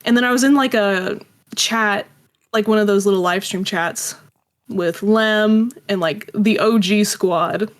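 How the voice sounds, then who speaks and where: A woman's voice: medium pitch, unhurried. A woman telling personal anecdotes as an interview guest in a casual, rambling, self-deprecating way. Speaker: interview guest, audio drama creator.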